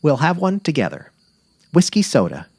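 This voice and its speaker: A man's voice: fairly high pitch, huge pitch swings, moderate pace, no echo, clean studio sound, voice pushed up, heavily acted. A man voicing an affectionate middle-aged wife on safari, eager and tender, in a dramatic literary reading. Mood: assured, affectionate. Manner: cheerful, eager, tender, imploring.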